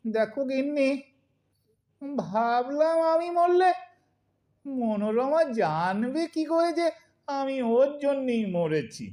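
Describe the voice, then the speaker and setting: A woman's voice: high-pitched, very animated, takes it slowly, long pauses, dark tone, exaggerated voice. A woman playing a lovesick, grumbling husband in his eighties in an audio drama, whining and melodramatic. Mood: sheepish, plaintive.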